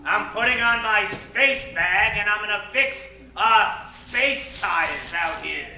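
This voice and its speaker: A proud, exasperated spaceship captain of old-time radio acted by a man. He declaims slowly in a very forceful, piercing voice, set a little back in a roomy space. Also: high voice, distant mic, full character voice.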